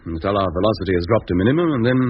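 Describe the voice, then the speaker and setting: An actor playing a firm, authoritative spaceship captain in a 1950s radio drama, brisk and decisive. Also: male voice, low-pitched, lively intonation, husky. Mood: reasoning, firm. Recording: dull, noisy 1950s radio recording.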